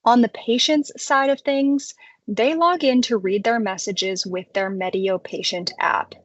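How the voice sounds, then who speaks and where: A woman narrating a scripted product tutorial as a software presenter, explaining things clearly in a conversational way. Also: on the high side, fairly even intonation, a little slow, no room sound, muffled recording.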